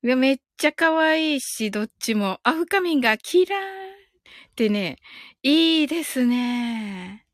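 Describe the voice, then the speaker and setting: A woman's voice: sits high, lively intonation, measured pace, dry recording, studio quality. A woman hosting a casual live audio stream, chatting politely with her listeners and often breaking into giggles.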